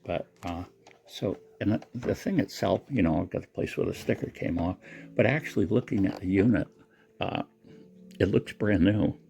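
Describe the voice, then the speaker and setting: A man's voice: lowish voice, moderate pace, gravelly, dark tone. A man giving a casual, unscripted product demonstration in a rambling, matter-of-fact way. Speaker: presenter showing a secondhand gadget.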